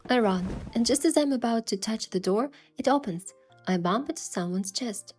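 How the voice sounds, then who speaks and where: A woman playing a sincere young heroine, narrating her romance story in a soft, measured and expressive way. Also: a little high, natural voice. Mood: reflective narration. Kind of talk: scripted first-person story narration and dialogue. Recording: music behind the voice.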